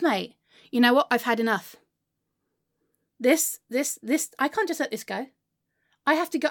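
Woman acting very high-voiced, melodramatic, aggrieved woman. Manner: histrionic, sweary, swinging between sulky muttering and wailing. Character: melodramatic, irrational, foul-mouthed woman outraged by her haircut. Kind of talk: comedic monologue to an unheard friend.

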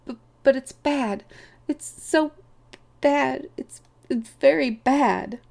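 Woman acting a little male storybook rabbit, high-pitched, anxious, stammering, miserable, urgent. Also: slow pace, creaky, darkish tone, heavily acted. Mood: miserable dread. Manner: stammering, fretful, blurting. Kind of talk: audiobook character voice.

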